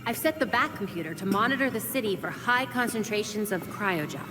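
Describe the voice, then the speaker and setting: A woman's voice: medium pitch, lively intonation, a little fast, natural voice. A woman playing a serious, determined young vigilante detective in a video game cutscene. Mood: businesslike. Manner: earnest, focused. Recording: music behind.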